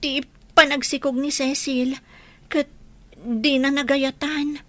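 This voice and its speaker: A woman's voice: sits high, little pitch movement, takes it slowly, bright, forceful tone, no echo, exaggerated voice. A woman acting an anxious young woman confiding in her elder in a radio drama. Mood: apologetic, anxious.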